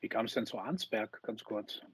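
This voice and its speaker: A man's voice: fairly low pitch, moderate pace, a bit bright, slightly rough, no room sound. A man in his fifties interviewing a guest in a conversational way over a video call. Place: bright indoor room.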